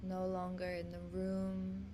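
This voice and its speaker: A woman leading a guided meditation in a soothing, gentle manner, leaving long pauses between phrases. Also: lowish voice, monotone, background noise. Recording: music behind the voice. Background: meditative instrumental music.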